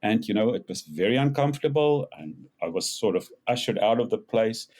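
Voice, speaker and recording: A man's voice: fairly low pitch, a little fast. Older South African man telling stories. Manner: animated storyteller, rises when acting out quotes. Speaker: guest. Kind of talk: casual interview storytelling.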